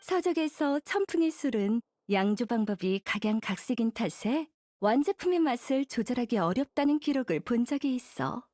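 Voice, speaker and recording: A composed, teasing woman mentor from an anime game. Her high voice rises and falls expressively as she explains things unhurriedly. The sound is dry and close. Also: expressive, moderate pace, darkish tone, dry recording. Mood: explaining.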